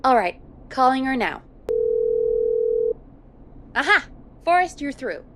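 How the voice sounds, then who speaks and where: An actress playing a level-headed, cautious radio show producer in a video game, composed and practical. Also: female voice, high voice, very animated, slow pace, heavy background noise, exaggerated voice.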